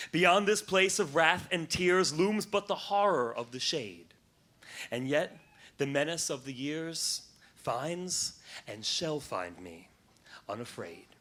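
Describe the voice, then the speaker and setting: A young man performing poetry live to an audience, with an emphatic, theatrical delivery and dramatic pauses. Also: fairly high pitch, pushed and bright. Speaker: slam poet performing on stage. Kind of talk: live poetry reading and spoken word to an audience.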